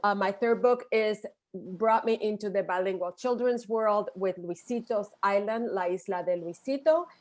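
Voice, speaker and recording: A woman's voice: medium pitch, normal speed. Cheerful woman on a video call. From Puerto Rico. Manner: cheerful, animated. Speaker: children's book author. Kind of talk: book presentation on a video call. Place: home room. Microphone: earphones with mic on a video call.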